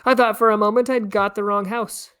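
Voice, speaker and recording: A woman's voice: medium pitch, steady tone, brisk, a bit bright, clean tone, pitched above the performer's own voice, close to an everyday voice. A woman voicing a scrawny, grinning male stranger in a storybook, teasing and coaxing in a theatrical way. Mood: coaxing, breezy. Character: scrawny, grinning, wheedling stranger man, fast-talking trickster.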